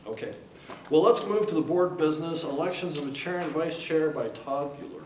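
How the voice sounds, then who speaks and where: A man's voice: on the high side, darkish tone, slight background noise. Man chairing a meeting in an echoey hall. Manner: brisk, procedural, light joking. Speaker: board chair running the meeting. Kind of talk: formal meeting procedure. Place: meeting room. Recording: roomy hall, distant mic.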